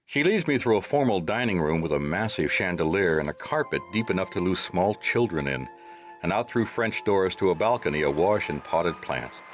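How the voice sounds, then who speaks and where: An actor playing a wry, easygoing private detective in a radio drama, talking in a dry, casual hardboiled style. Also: male voice, sits low, lively intonation, moderate pace, faint music behind. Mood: wry narration.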